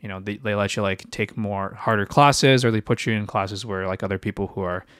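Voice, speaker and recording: A man's voice: fairly low pitch, fairly even intonation, a little fast, fairly bright tone, clear, resonant tone, no echo, studio quality. A young man in his twenties, born in China, co-hosting a podcast and telling his life story in a hesitant way, with lots of fillers and restarted sentences. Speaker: podcast co-host, physician. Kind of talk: casual podcast chat.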